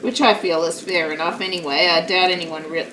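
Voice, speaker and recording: A woman with a naturally loud, slightly low voice chats in a rambling, self-deprecating way while she works on a craft at home. She sits back from the mic in a roomy space, and a steady noise runs underneath. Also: fairly quick, recorded from a distance. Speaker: live-streaming craft hobbyist. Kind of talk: casual chat while crafting.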